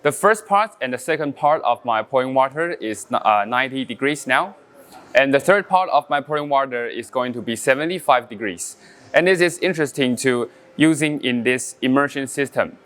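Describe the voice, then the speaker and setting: Taiwanese coffee champion, smooth voice. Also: male voice, medium pitch, animated, fairly bright tone. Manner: halting non-native English with frequent fillers, explaining step by step. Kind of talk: casual brewing demonstration.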